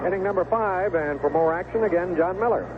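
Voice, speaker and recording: A man's voice: sits high, a little fast. A man calling baseball play-by-play in a folksy, conversational 1970s radio style. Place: stadium broadcast booth. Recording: lo-fi, noisy vintage AM radio broadcast. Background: ballpark ambience and noise.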